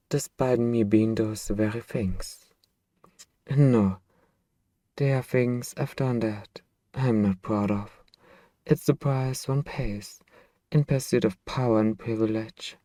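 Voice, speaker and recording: Slow, hushed vampire lover acted by a man. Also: medium pitch, no room sound, close to an everyday voice. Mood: confiding, tender. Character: two-hundred-year-old male vampire master, tender, possessive boyfriend. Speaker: ASMR voice actor. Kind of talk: intimate ASMR roleplay monologue.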